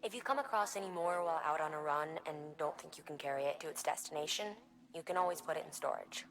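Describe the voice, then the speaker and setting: An actress playing an encouraging delivery terminal operator in a video game, explaining things in a reassuring way. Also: female voice, low voice, fast, a bit bright. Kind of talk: scripted video game briefing. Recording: faint noise and ambience behind.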